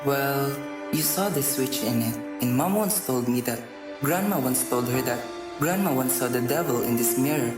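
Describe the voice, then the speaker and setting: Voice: mid-range voice, animated, moderate pace, natural voice. An actor playing a young grandson, an innocent, cheeky and curious schoolboy, in a radio drama. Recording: echoey room, faint music.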